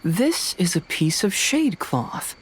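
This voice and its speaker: A man voicing a youthful, analytical detective in a video game, composed and deliberate as he reasons aloud. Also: sits high, very animated, slight background noise, full character voice.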